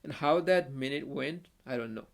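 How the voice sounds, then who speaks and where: A young man from Bangladesh with a Bangladeshi accent, a native Bengali speaker, telling a story as a podcast guest in a halting way, full of restarts and fillers. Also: mid-range voice, vocal fry. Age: young adult. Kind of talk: casual conversational storytelling.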